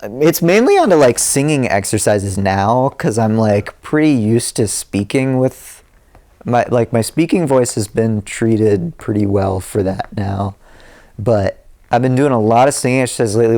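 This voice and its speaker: A man's voice: medium pitch, darkish tone. A man guesting on a podcast as a musician, rambling and self-deprecating, laughing often. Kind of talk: casual interview chat.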